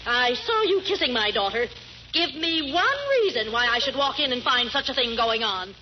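A woman's voice: on the high side, lively intonation, normal speed, lightly acted. An actress playing a stern, haughty middle-aged landlady who scolds indignantly in a 1940s radio comedy. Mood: stern, scolding. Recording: noisy, muffled 1940s radio recording.

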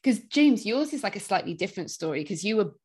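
A woman's voice: medium pitch, very animated, fast talker, darkish tone, breathy edge. A woman hosting a podcast, asking interview questions in a friendly way. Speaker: podcast host.

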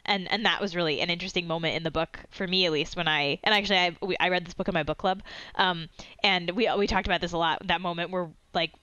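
A woman's voice: lowish voice, bright, forceful tone, no room sound. Chatty woman host, dull dry sound. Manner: chatty, rambling, many fillers and restarts. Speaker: podcast host. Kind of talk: interview conversation.

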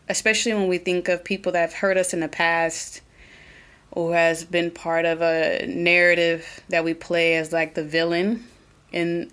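A woman with an Oklahoma twang hosting her own solo podcast, talking earnestly and reflectively, pausing and restarting as she thinks aloud. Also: fairly low pitch, a bit bright, clean tone. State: a little nervous. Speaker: podcast host.

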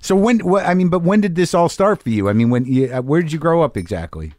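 A man's voice: fairly high pitch, big pitch swings, quick pace, a little mellow, no echo, studio quality. A man hosting an interview, listening with short affirmations and asking curious follow-up questions. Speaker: podcast host. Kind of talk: casual interview chat.

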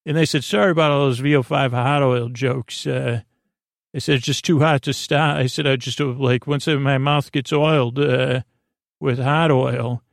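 A man hosting a bedtime podcast in a meandering, halting monologue, doubling back on phrases with deadpan whimsy. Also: medium pitch, creaky, no echo, clean studio sound. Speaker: podcast host.

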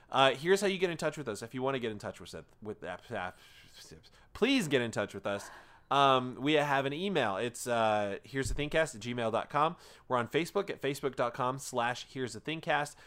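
A man's voice: mid-range voice, fairly bright tone, clean tone. A man hosting a casual podcast in an ordinary mid-range voice. He rambles through his intro, filling gaps with "uh" and joking along the way. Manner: rambling, many filler "uh"s, playful. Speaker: podcast host.

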